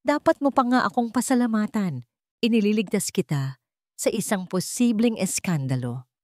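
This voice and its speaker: A woman's voice: mid-range voice, lively intonation, normal speed, a little mellow, no room sound, clean studio sound, pitched above the performer's own voice, close to an everyday voice. A Filipino woman voicing a smug, scheming female villain in a story, taunting and mock-innocent. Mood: feigned innocence.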